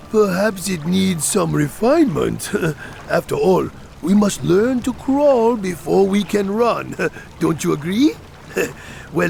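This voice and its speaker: A man's voice: sits high, gravelly, darkish tone, slight background noise, full character voice. A man voicing a jolly, humble wandering brewmaster creature in a video game, genial and ale-loving. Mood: pleased. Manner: unhurried, genial, chuckling storyteller. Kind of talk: video game character acting.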